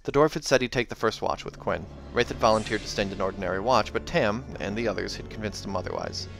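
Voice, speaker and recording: A man's voice: on the low side, fast, creaky, fairly bright tone. A man hosting a read-aloud of a fantasy novel, mixing narration with casual reactions. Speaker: reader and host. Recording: music behind the voice.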